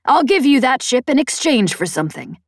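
A woman narrator plays a tough female pirate boss in an audiobook. She pitches high and commanding, pressing her case with a taunting, persuasive edge. The sound is close and dry. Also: very animated, fairly quick, no echo. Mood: bargaining. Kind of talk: audiobook character dialogue.

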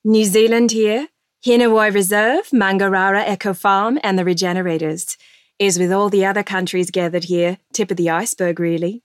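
A woman's voice: medium pitch, steady tone, normal speed, clean tone, dry recording. Woman, matter-of-fact roll-call reader, dry studio sound. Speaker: representative. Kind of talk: scripted roll-call reading.

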